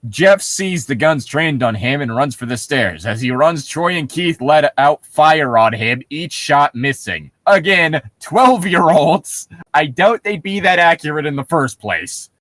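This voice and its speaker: A man with a fairly high, very animated voice reads and riffs in a casual comic way. He throws in incredulous asides as a podcast co-host. The sound is dry and close. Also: dry recording. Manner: animated, quick to laugh, mock-incredulous asides. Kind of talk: casual comedic reading and riffing on a story.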